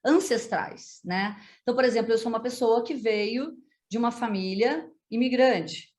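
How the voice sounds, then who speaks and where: A woman's voice: on the high side, moderate pace, a little mellow. Hesitant woman asking a question. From Brazil. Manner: hesitant, many fillers and restarts. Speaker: interviewer. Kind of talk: casual interview question.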